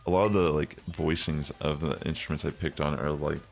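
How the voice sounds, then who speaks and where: Streamer: young man, bassy low voice, rambling casual talk, gravelly edge, faint music under him. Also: fairly even intonation, brisk. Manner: rambling, many fillers. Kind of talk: casual chat while gaming.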